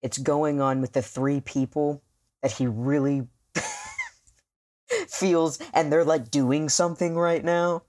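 Tabletop player, improvised narration, slow pace, halting thoughtful phrasing, medium pitch. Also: wildly swooping pitch, darkish tone. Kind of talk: improvised narration of a tabletop role-playing game.

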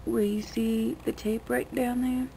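A woman giving a casual, unscripted how-to in a rambling, colloquial, slightly crude way, with a creaky voice. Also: on the high side, little pitch movement, unhurried, mellow. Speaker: vlogger giving a how-to demonstration. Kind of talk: casual unscripted hands-on tutorial. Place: small dim room indoors at a table. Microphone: camera mic pointed at hands on table. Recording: noisy homemade camera recording.

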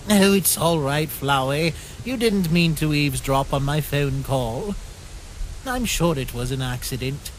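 A man voicing a friendly, proud, innocent skeleton in an animated comic dub, theatrical and earnest. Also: a little high, a little slow, a little hiss or hum, low-quality recording. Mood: friendly, reassuring.

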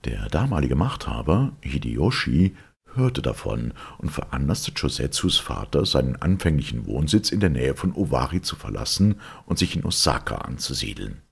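A man reading a legend aloud as a narrator, in a measured, formal storytelling delivery. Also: very deep voice, sing-song, vocal fry, breathy edge.

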